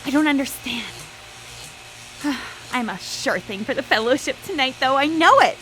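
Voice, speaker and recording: A woman's voice: sits high, animated, moderate pace, sharp tone, slight background noise, low-quality recording. An actress playing a driven, perfectionist young woman inventor urging herself on in a radio drama monologue. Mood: anxious, determined.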